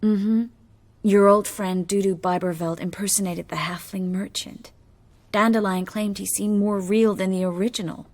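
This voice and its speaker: An actress playing a resolute young sorceress in a video game, wry and weary, with a measured, breathy delivery. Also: female voice, medium pitch, fairly even intonation, darkish tone, lightly acted. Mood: conspiratorial.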